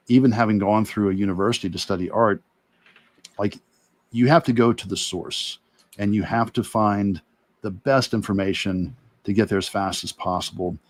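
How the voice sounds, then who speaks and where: A middle-aged man, an art teacher, talking earnestly and reflectively in halting phrases in a casual livestream discussion. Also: on the low side, steady tone. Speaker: artist and illustration teacher, guest.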